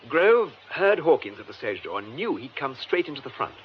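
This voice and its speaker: A man's voice: mid-range voice, sing-song, natural voice. Male actor as composed English detective superintendent, radio drama. Formal, methodical, varied intonation, slightly noisy recording. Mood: grave, narrating. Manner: measured, formal, precise delivery. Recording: muffled lo-fi radio drama, faint noise.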